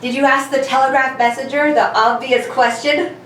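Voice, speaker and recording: A woman's voice: high voice, steady tone, moderate pace, lightly acted. A woman playing a confident, clever young male detective in an amateur comedic radio play reading. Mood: assured. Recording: echoey room, distant mic, faint noise.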